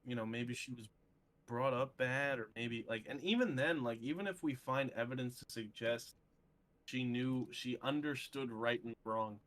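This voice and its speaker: A man in a casual, opinionated discussion, speaking haltingly and repeating phrases for emphasis. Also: mid-range voice, a bit bright.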